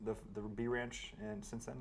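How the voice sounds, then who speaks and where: A man's voice: fairly low pitch, little pitch movement, normal speed, a little hiss or hum. A man playing a tabletop game, casually asking questions in character.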